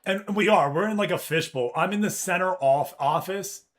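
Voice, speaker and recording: A man streams from his office, chatting loosely with viewers and retelling a run-in with a customer. He talks in an animated, profane, storytelling way, and his voice sits a little higher than you'd expect for someone who calls it deep. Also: normal speed. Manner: animated storytelling, swears, reads chat aloud. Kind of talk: casual chat with live chat.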